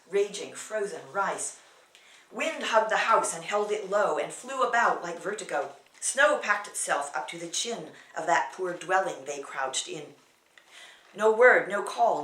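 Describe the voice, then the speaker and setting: Woman, sixties, dramatic verse reader with theatrical emphasis; mid-pitched, recorded roomy and off-mic. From California, USA. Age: 60s. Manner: theatrical, swinging from emphatic bursts to hushed near-whispers. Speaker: poet reading own work. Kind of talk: dramatic poetry reading. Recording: roomy echo, distant microphone.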